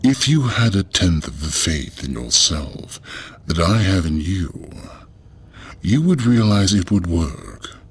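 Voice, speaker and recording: A deep husky undead revenant, solemn and imposing, audio drama. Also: male voice, big pitch swings, heavily acted. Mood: solemn, assured. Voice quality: gravelly, husky. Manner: slow, weighty, deliberate delivery.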